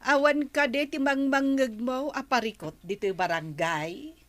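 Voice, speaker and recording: A woman's voice: high voice, measured pace, a bit bright. A woman with an Ilocano Filipino accent playing a plain-spoken village woman in a radio comedy-drama. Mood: questioning.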